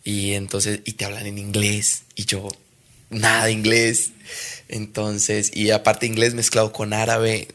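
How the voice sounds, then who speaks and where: A man's voice: lowish voice, a little slow. A young Colombian man with a Colombian Spanish accent, a footballer being interviewed, talking casually and hesitantly with many restarts. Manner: hesitant, restarts often, animated when telling anecdotes. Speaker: professional footballer guest.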